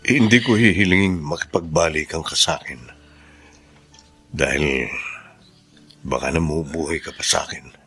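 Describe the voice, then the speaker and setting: Deep-voiced, humble, remorseful man. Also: normal speed, pauses often, a bit bright, breathy edge, soft background music, exaggerated voice. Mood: earnest, pleading. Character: humble, remorseful man recovering from illness, gentle with former partner. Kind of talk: Filipino radio drama acting.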